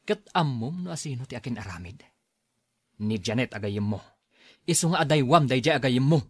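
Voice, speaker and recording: A man's voice: mid-range voice, lively intonation, normal speed, slightly rough, dry recording, clean studio sound. A man acting in an Ilocano radio drama as an irritable, defensive husband, with an Ilocano Filipino accent. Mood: scornful, angry. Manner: exasperated, dismissive.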